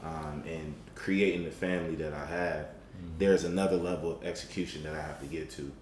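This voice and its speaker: A man with a very deep voice talks in measured, motivational tones, often pausing on an um. The room is echoey. A faint hiss runs underneath. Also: steady tone, darkish tone. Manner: measured, with frequent ums and a motivational tone. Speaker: podcast guest. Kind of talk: casual conversation.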